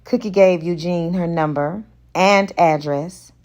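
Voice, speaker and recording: A woman narrates a romance story as an audiobook reader. Her voice sits a little low, and she takes the text at a slow, measured pace. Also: darkish tone. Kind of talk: scripted narration of a romance novel.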